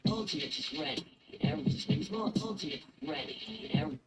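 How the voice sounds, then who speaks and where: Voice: medium pitch, lively intonation, brisk, soft background music, natural voice, poor audio. An actor voicing a video game system announcer, giving short, clipped, scripted alerts. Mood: announcing alerts.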